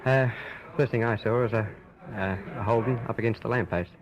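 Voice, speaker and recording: Fast-talking ambulance driver. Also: male voice, lowish voice, crowd noise. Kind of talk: brief, hesitant interview answers. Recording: dull old location tape, people around.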